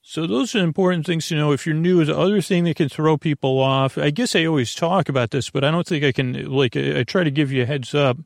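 A man hosting a podcast with a meandering, halting, digressive bedtime monologue. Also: a little high, steady tone, vocal fry, dry recording, clean studio sound. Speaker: podcast host.

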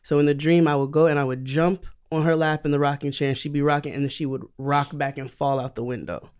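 A woman guest on a podcast, an animated storyteller who laughs easily. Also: low-pitched, fast, clean tone. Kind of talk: casual podcast conversation.

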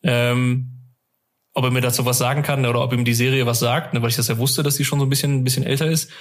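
A man's voice: medium pitch, little pitch movement, quick pace. A man chatting casually as a podcast guest, telling anecdotes in a colloquial, rambling and often amused way. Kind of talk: casual interview chat, telling personal anecdotes.

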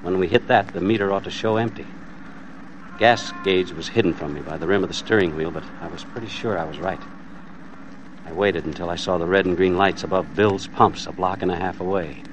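A man's voice: sits low, a little fast, noisy background, close to an everyday voice. An actor playing a weary, middle-aged everyman hostage telling his story in an old radio thriller, plain-spoken and hard-boiled. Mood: tense, brooding. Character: tense, weary everyman husband, held hostage while driving. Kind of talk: first-person radio thriller narration and dialogue.

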